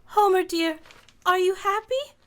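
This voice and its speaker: A frantic, grieving widow in an old-time radio play. Her voice is a piercing, very high falsetto. She pleads at a hurried pace. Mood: pleading. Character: grieving widow, excitable, desperate.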